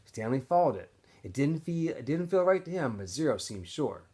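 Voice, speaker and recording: Man, read-aloud narration, expressive storyteller, animated pitch movement, husky tone. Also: normal speed. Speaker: teacher or school staff reading aloud to students. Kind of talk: expressive audiobook-style narration.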